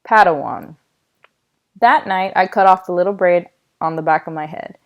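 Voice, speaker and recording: A woman reading a children's novel aloud in a storytelling style, with expressive delivery. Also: lowish voice, normal speed, dull audio. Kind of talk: read-aloud storytelling with acted dialogue.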